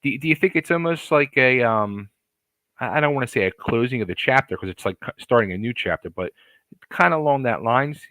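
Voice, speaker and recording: A man hosting an interview show, voice at a medium pitch. He asks his questions enthusiastically, stumbling and restarting as he goes. The recording is dry, with no room sound. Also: animated, brisk, pushed and bright, no room sound. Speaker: podcast host, interviewer. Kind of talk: casual interview.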